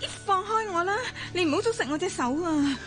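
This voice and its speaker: An actress playing a weary, reserved estranged wife in a Cantonese radio drama, serious and restrained, with a Hong Kong accent. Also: female voice, extremely high pitch, lively intonation, brisk, over music. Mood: upset.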